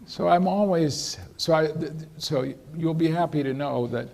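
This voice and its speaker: A man answering audience questions after a lecture, speaking in a measured, hesitant way with dry humor. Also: a little high, vocal fry, darkish tone. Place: lecture hall.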